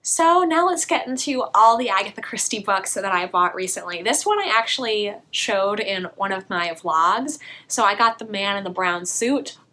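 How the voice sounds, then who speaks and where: A young woman, a little hoarse, chatting eagerly about books as a vlogger. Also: medium pitch, animated, bright, forceful tone. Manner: chatty, enthusiastic, quick asides. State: slightly hoarse. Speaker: book vlogger. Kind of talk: casual book haul vlog.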